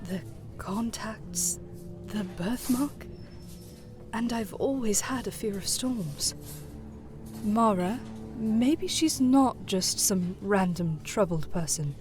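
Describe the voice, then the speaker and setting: A woman playing a calm, kindly younger businesswoman with a measured manner in an audio horror drama. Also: mid-range voice, faint music behind. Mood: astonished. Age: young adult.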